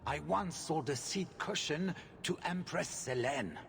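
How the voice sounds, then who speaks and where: Shrewd merchant, gravelly tone, lively swinging pitch, game cutscene, music behind. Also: male voice, a little slow. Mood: businesslike. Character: shrewd smooth-talking mine owner merchant. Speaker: game character. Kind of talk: video game cutscene dialogue.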